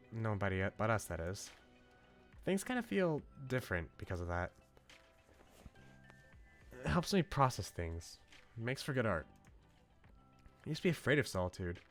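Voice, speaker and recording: A man voicing a wistful, gentle young woman from a visual novel, in a musing manner. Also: medium pitch, lightly acted. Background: game music.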